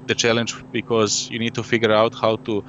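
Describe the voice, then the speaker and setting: Low-voiced man explaining his board game. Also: completely flat delivery, a little fast, fairly bright tone. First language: French. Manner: explains at length, with many fillers and "you know". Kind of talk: casual interview conversation. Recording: dull lo-fi audio with faint noise.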